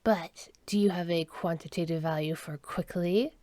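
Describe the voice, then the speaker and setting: A woman's voice: on the low side, steady tone, normal speed, dark tone, no room sound, lightly acted. Woman voicing an eager, mischievous alien plant creature. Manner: polite, eager, playful. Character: content, mischievous male alien plant-fiber being, eager to help.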